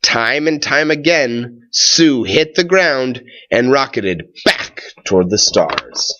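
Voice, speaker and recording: A man reading a children's tall tale aloud, with expressive, deliberate phrasing, drawn-out words and pauses. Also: mid-range voice, highs cut off.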